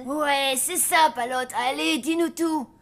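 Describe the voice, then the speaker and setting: An actress playing a nosy, bossy young zombie child in a children's cartoon, prodding and skeptical. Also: female voice, high voice, fairly even intonation, takes it slowly, soft background music. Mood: suspicious.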